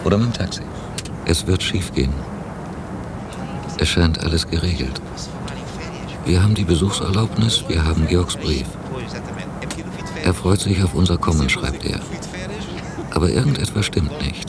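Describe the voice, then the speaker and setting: An actor playing a brooding, doubtful traveller in a radio drama, speaking in a measured, reflective way. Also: male voice, deep voice, lively intonation, over a noisy crowd. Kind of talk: radio drama inner monologue and dialogue. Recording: hissy cassette copy of radio drama.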